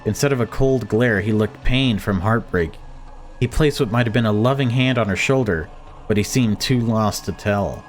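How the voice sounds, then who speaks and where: A man reading a horror visual novel aloud as dramatic narration for a let's play. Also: lowish voice.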